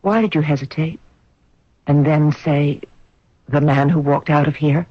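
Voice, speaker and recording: A woman's voice: low voice, steady tone, unhurried, husky, no echo. A woman acting an anxious, bewildered wife in an old radio drama, earnest and emotional. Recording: dull 1970s radio drama recording.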